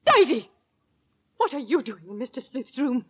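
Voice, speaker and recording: A woman's voice: sits high, very animated, normal speed, a bit bright, soft background music, exaggerated voice. An actress playing an anxious, stern middle-aged Victorian London landlady and mother, nervous and reproving, in 1940s radio drama style. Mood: flustered.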